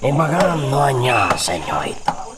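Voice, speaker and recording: A man playing an eager, obedient henchman in an Ilocano radio drama, excitable, with an Ilocano accent. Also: medium pitch, measured pace, heavy background noise. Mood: servile. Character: eager, servile henchman of a cruel master. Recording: loud background music.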